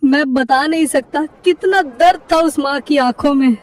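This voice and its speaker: A woman's voice: sits high, a little slow, far from the mic. A woman voicing a kindly, worried male parrot who tells a story and warns urgently and emotionally. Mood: urgent, grieving.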